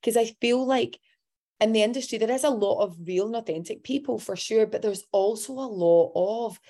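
A woman's voice: fairly high pitch, moderate pace. A woman in her forties hosting a podcast, talking with open enthusiasm and affirming her guest in a casual interview. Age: 40s. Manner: warmly enthusiastic toward guest. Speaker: podcast host.

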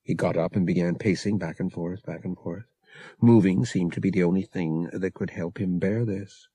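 A man narrating fiction as an audiobook reader, dramatic and expressive with measured phrasing. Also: low voice, breathy edge, no echo.

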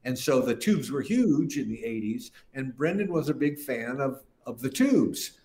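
A man's voice: mid-range voice, animated, unhurried, dark tone. An older man, a guest musician, telling long, rambling stories in an interview and often restarting his sentences. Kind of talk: casual interview storytelling. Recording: roomy echo.

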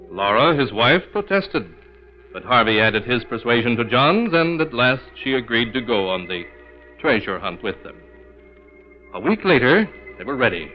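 A male narrator reads an old radio mystery in formal, measured phrases. His voice is mid-pitched, on a muffled vintage recording with faint music underneath.

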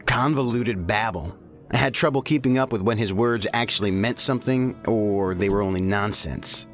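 An actor playing a blunt, stubborn special agent in an audio drama. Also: male voice, lowish voice, fairly bright tone, lightly acted. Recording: faint music behind the voice.

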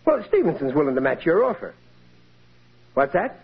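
A man's voice: on the high side, sing-song, moderate pace. An American actor playing a bumbling, sly working-class husband and father in an old radio sitcom, stammering and exaggerating his lines. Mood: smug. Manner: hesitant, stammering, exaggerated delivery. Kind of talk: 1940s-50s American radio sitcom acting. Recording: noisy, muffled old radio broadcast.

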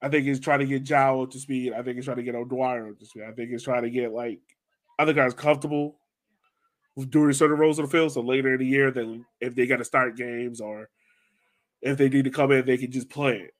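A man's voice: medium pitch, steady tone, fairly quick. An American man chatting casually about sports as a podcast co-host.

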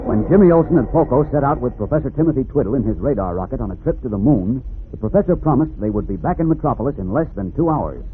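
A man's voice: medium pitch, expressive, quick pace. An American man narrating a 1940s radio serial in a dramatic, declamatory announcer's manner. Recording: vintage radio, narrow band, faint noise.

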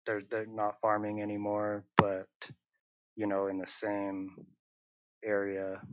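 Low, flat-voiced man, hesitant chat. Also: slow pace, no room sound, highs cut off. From northern Utah, USA. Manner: hesitant, many fillers and restarts. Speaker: interview guest, muralist. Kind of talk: casual conversational interview.

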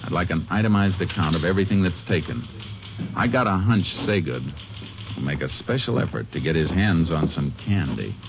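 An actor playing a calm, shrewd, measured Texas Ranger in a 1950s radio western. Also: male voice, sits low, over loud music. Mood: businesslike. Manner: measured, businesslike. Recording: muffled old radio recording.

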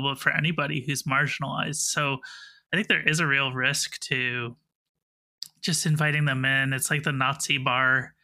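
A man's voice: fairly high pitch, sharp tone. A man co-hosting a podcast, talking in a casual discussion style. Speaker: podcast co-host, journalist.

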